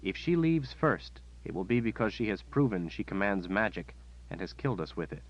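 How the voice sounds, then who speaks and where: A man voicing a commanding young noble woman, calm and steely, speaking in a gentle but firm manner. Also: fairly low pitch, moderate pace, muffled recording. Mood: stern.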